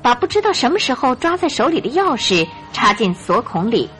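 Lady telling a radio drama story, higher voice, really animated intonation, some music under it. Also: fairly quick. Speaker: narrator.

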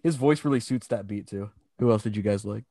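A man's voice: lowish voice, a little fast, gravelly, mellow, dry recording, clean studio sound. A man co-hosting a casual chat about a rap show, laid-back and laughing often. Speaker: podcast co-host.

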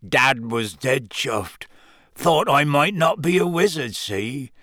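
A man performs a huge half-giant for a storybook. He is gruff but tearful and humble. The words come haltingly in a hoarse, rustic croak, recorded close and dry. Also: mid-range voice, animated, a bit bright, no echo. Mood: fond, halting. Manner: rustic dropped-consonant speech, halting.

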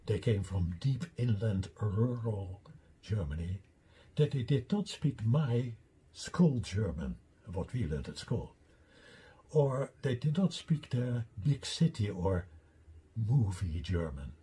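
Slow, husky older Dutch-accented man. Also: fairly low pitch, very animated, dark tone. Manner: deliberate, explains step by step with asides. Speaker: lecturer presenting his own theory. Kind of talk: slide lecture.

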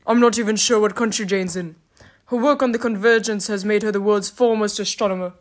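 A woman's voice: medium pitch, steady tone, close to an everyday voice. A woman voicing a proud, boastful male warrior god in a stately, grandiose storybook manner. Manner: stately, grandiose, drawn-out delivery.

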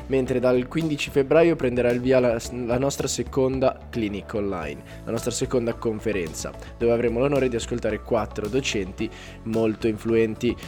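Brisk male host reading announcements, soft music underneath. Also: mid-range voice. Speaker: podcast host. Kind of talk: podcast intro and announcements. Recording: faint music behind.

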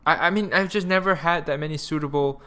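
A man's voice: on the high side, fast talker, pushed and bright. A young man with a Malaysian accent hosting a podcast in a rambling, crude, self-mocking casual chat. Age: young adult. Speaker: podcast host.

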